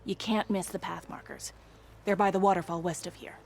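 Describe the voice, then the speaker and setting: A woman's voice: lowish voice, fairly even intonation, fairly quick, vocal fry, slight background noise. An actress playing a proud young female soldier in a video game, blunt and guarded in manner. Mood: guarded worry. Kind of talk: video game character acting.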